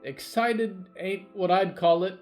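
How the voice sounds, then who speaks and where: Reluctant kid traveller, voiced by a man: higher register, grumbling, stubborn, plain folksy talk for an eerie story podcast. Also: slow, darkish tone, faint music behind. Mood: grumpy, fed up. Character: grumpy, reluctant young boy lost in woods.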